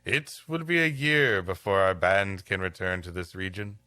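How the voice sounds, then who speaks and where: A man's voice: low voice, moderate pace, sharp tone, dry recording, faint music behind, pitched below the performer's own voice. A man voicing a pious, patient missionary saint in a storybook tale. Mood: solemn patient. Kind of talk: storybook reading.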